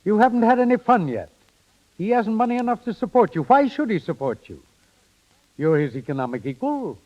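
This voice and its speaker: A man's voice: sits high, very animated, fairly quick. An actor playing an earnest, plain-spoken, concerned middle-aged father in a 1930s radio drama. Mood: grave, concerned. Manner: earnest, frank, probing. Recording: dull vintage 1930s radio recording.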